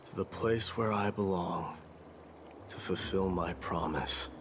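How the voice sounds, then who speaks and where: A grave, deep-voiced warrior king. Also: takes it slowly, a little hiss or hum. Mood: calm, resolute. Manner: grave, formal, declamatory. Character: proud solemn young warrior king, newly ascended war demigod. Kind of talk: scripted game cutscene drama. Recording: game dialogue under loud music.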